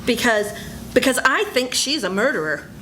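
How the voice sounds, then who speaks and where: A woman's voice: a little high, big pitch swings, normal speed, pushed and bright, a little hiss or hum, poor audio. An older woman playing a nervous, suspicious friend in an amateur old-time radio melodrama, speaking urgently and fearfully.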